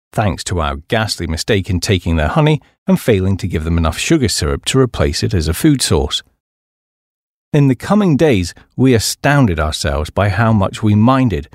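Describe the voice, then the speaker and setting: A man with a fairly low voice reads a memoir as an audiobook narrator. His delivery is measured but lively, with plenty of rise and fall in the phrasing. The recording is close and dry. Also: no echo. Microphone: close studio microphone.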